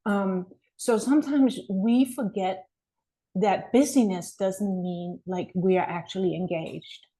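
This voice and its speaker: A woman's voice: mid-range voice, takes it slowly, mellow, rough recording. A middle-aged woman from Denmark, a mindset coach, telling her story earnestly as a podcast guest. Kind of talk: podcast interview.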